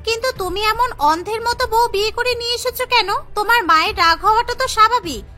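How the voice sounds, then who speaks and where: A woman voicing a meddling, sharp-tongued local woman in a cartoon, chiding and pointed. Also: very high voice, quick pace, soft background music. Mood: disapproving. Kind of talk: Bengali cartoon melodrama voice acting.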